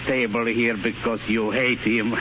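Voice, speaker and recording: A man's voice: mid-range voice, level tone, measured pace, noisy background, natural voice. An actor playing a mocking, chuckling legionnaire in a 1930s radio drama, drawling his taunts, on a dull old broadcast with music behind. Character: mocking cackling male legionnaire.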